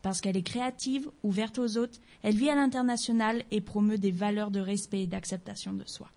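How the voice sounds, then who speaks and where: Mellow-voiced woman presenting a radio portrait. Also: mid-range voice, fairly even intonation, fairly quick. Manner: chatty, addresses listeners directly, playful asides. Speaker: radio columnist. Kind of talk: scripted portrait segment. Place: local radio studio.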